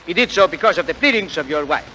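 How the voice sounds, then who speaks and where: A formal prison governor in a 1940s radio drama. He is courteous and official, with a high, bright voice. The vintage recording has faint noise. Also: animated, fast, rough recording. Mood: formal, stern.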